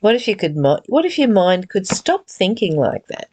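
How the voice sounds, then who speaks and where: A woman giving a reflective spiritual reading and teaching in an earnest, deliberate, gently exhorting way. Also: mid-range voice, sing-song, slightly rough. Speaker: reader and spiritual study teacher. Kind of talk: read-aloud spiritual text with reflective, informal commentary.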